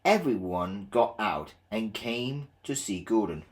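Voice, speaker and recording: A woman narrates a children's storybook in a low voice. She takes her time, and her intonation moves around in a lively way as she reads. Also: slow, noisy background. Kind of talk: scripted read-along children's storytelling.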